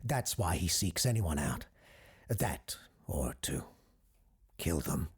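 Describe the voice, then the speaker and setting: A male actor plays a learned doctor hosting a radio show in an audio drama. His voice is low and very husky, rising and falling expressively. He is careful and earnest, often hesitating as he thinks. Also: sing-song, takes it slowly, heavily acted. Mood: thoughtful, wary.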